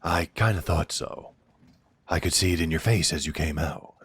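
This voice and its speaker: Male actor as blunt, loyal werewolf lieutenant, fantasy audio drama. Very low, raspy voice, sardonic and defiant. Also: moderate pace, a bit bright, heavily acted. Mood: reassuring, sly. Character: loyal, blunt, defiant werewolf right-hand man to a duke.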